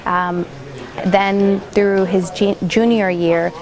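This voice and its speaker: A woman who coordinates a school program, explaining it in an interview in a measured way with fillers. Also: medium pitch, fairly even intonation, crowd noise, low-quality recording.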